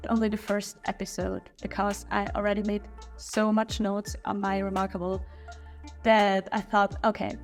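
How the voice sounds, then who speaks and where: A woman's voice: medium pitch, level tone, normal speed, faint music behind. German-accented woman reviewing history, chatty. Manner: chatty, opinionated, with small slips and restarts. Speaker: history channel host. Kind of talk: casual video review.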